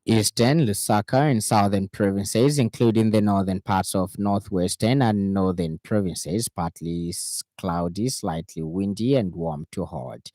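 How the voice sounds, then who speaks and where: A man with a Zambian accent reading a scripted radio news bulletin in a formal, measured announcer's delivery. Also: low-pitched, dark tone, no echo, studio quality. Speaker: radio newsreader. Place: radio studio.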